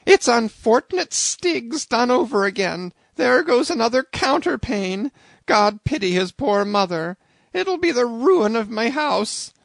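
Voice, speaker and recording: A shrill, flustered innkeeper woman who scolds and exclaims at every turn, put on by a male audiobook reader. Her voice climbs high and swings widely, and it stays loud and pressing. The recording is close and dry. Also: very animated, measured pace, gravelly, dry recording, full character voice. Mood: frantic. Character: shrill bustling middle-aged innkeeper woman.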